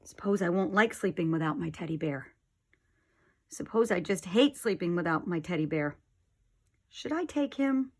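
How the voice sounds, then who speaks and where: A woman's voice: lowish voice, lively intonation, normal speed, pauses often, a little mellow. A woman voicing an anxious, eager young boy in a storybook, full of exclamations and worried questions.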